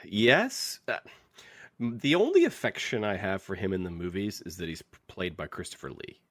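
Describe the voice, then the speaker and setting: A man co-hosts a podcast in a casual, wry way, leaning on phrases for emphasis. His pitch moves around a lot, and the recording is tight and dry. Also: sing-song, moderate pace, a bit bright, dry recording. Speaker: podcast co-host. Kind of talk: casual chat.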